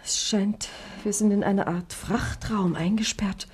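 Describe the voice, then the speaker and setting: An actress playing a quick-witted young woman, teasing and cool-headed, in a 1960s radio crime drama. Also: mid-range voice, steady tone, a little mellow, a little hiss or hum, lightly acted.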